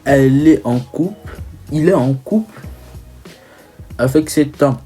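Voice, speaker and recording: Young man, mellow voice. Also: on the high side, soft background music. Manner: teasing, halting with self-corrections. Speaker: video creator. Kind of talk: casual humorous commentary.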